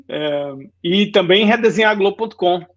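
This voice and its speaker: Um homem na casa dos quarenta com sotaque baiano misturado com carioca, contando sua trajetória numa conversa descontraída, com muitos "né?". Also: male voice, sits high, dull audio. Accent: Bahian Portuguese mixed with Rio. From Salvador, Bahia, Brazil. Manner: relaxed storytelling, frequent "né?". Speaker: guest designer. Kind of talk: casual interview chat.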